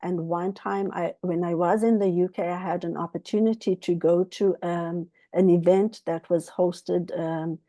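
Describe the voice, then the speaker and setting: A woman from Zimbabwe speaks slowly as a guest author, sharing personal reflections in an earnest, halting way. Her voice is mellow and fairly low, and the sound is dry and close. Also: steady tone, no room sound, lo-fi sound. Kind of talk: reflective personal account at a book club talk.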